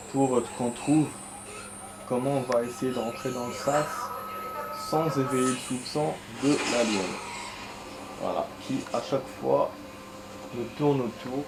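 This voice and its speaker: A chatty man narrating his gameplay in a loose, rambling way, cursing under his breath as he goes. His voice sits at a middling pitch. The recording has a roomy echo and steady noise behind it. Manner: chatty, rambling, swears, addresses viewers as friends. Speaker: gameplay streamer. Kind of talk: casual let's-play commentary. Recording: echoey room with clear background noise.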